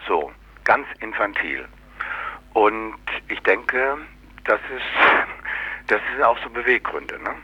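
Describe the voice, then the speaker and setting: A man's voice: lowish voice, big pitch swings, takes it slowly, long pauses, sharp tone, a little hiss or hum, poor audio. A man explaining his views casually in an interview, sardonic and colloquial, with many little tag questions.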